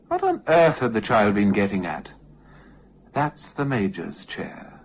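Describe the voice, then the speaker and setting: A man narrating a first-person radio tale in a measured, literary way with dramatic pauses. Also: on the low side. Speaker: storyteller, narrator of a radio play. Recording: dull lo-fi audio, faint noise.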